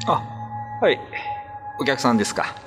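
A man's voice: medium pitch, expressive, moderate pace, long reverb tail, loud music behind the voice, natural voice. A man playing a candy shopkeeper in a period radio drama, courteous with customers and grumbling when alone. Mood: everyday, courteous. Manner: colloquial, muttering to himself. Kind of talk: period ghost-story radio drama acting.

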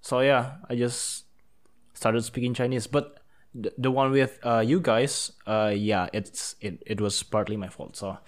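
Young man from Indonesia, casual storytelling, halting, fillers, mid pitch. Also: fairly even intonation. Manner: halting, many fillers, laughing. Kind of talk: casual storytelling among friends.

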